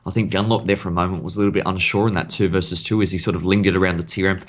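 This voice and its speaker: Fast-talking croaky esports caster man. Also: low voice, steady tone. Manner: rapid, analytical play-by-play. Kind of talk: live esports commentary.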